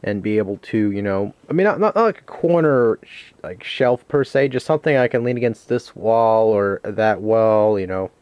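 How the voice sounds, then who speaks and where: A man with a fairly low voice talks off the cuff as a video game collector showing things at home. He rambles and hesitates, poking fun at himself as he goes, and the sound is dry with little room echo. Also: lively intonation, normal speed, muffled recording. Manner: rambling, hesitant, self-deprecating. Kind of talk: casual unscripted show-and-tell vlog.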